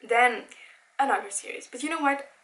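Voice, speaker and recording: Young woman in her twenties, first language Dutch, easygoing and excitable, chatty vlog delivery with lots of fillers and restarts. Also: high-pitched, fairly quick. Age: 20s. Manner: chatty, enthusiastic, with frequent self-corrections and "like". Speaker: book vlogger. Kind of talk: casual chat. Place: home room by a bookshelf.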